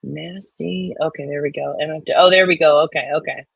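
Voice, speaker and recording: A woman bantering casually and reading online comments out loud in a blunt, sweary, folksy way. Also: low-pitched, steady tone, moderate pace, gravelly, breathy edge, no room sound, lo-fi sound. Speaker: co-host reading comments aloud.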